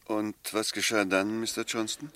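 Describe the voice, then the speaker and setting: An actor playing a methodical, argumentative defence advocate in a 1960s radio drama, deliberate and emphatic. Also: male voice, lowish voice, little pitch movement, sharp tone. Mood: probing, measured. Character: argumentative, methodical male defence advocate dissecting a murder case.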